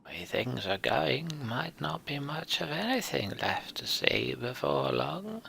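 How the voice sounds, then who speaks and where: Man putting on a gruff, weary old wanderer. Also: medium pitch, sing-song, measured pace, bright, forceful tone, a little hiss or hum, voice pushed up, full character voice. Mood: gruff, fatalistic. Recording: music behind the voice.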